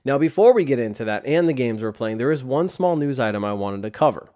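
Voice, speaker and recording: A man's voice: mid-range voice, expressive, quick pace, a little mellow. Man podcaster with a sore, tired throat; casual rambling host delivery into a desk mic. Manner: rambling with frequent restarts. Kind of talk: casual solo podcast talk. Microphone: desk microphone.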